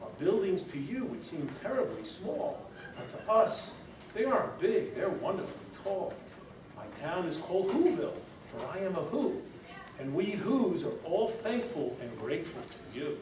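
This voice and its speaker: Brief: hushed polite little mayor, read by a man, higher voice, distant roomy recording. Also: very animated, normal speed. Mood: grateful. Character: tiny soft-spoken male town mayor. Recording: roomy, distant mic, background noise.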